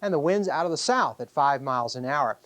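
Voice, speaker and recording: Male weather forecaster, quick pace, slightly high voice, conversational TV delivery. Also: lively intonation, fairly bright tone, no echo.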